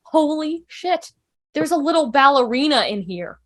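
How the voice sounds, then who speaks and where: Woman as teasing young woman, high pitch, excitable, blurting, table-read drama. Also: normal speed. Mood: amazed, teasing. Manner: gushing, blurting. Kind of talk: fan table-read acting. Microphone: video call.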